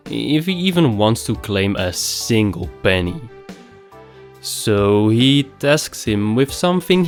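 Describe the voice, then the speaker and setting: A young man rambling casually through a game review, nasal from a recent cold, swearing offhandedly. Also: fairly low pitch.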